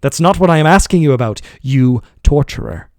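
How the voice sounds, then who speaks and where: A man's voice: animated, brisk, no room sound, clean studio sound. A man doing a furious, shrieking master. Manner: shouting, shrill outbursts. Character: enraged, humiliated middle-aged man shouting at his servant.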